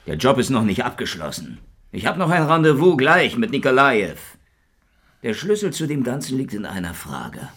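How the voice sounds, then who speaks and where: An actor playing a cynical, weary secret police investigator in a hardboiled radio drama, dry and laconic. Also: male voice, medium pitch, very animated, moderate pace, fairly bright tone, husky. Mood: defiant.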